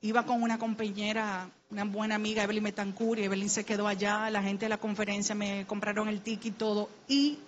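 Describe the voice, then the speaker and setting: A woman's voice: medium pitch, level tone, fast, few pauses, slight background noise. Earnest Dominican woman, muffled recording. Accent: Dominican Spanish. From Dominican Republic. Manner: earnest, heartfelt, grows urgent and exhorting. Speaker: panel speaker. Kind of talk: personal testimony in a panel talk.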